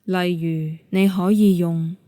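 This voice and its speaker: Slow, mellow Cantonese-speaking woman guiding meditation. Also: on the low side, dry recording, studio quality. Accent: Hong Kong Cantonese. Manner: calm, gentle, measured instruction. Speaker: yoga nidra meditation guide.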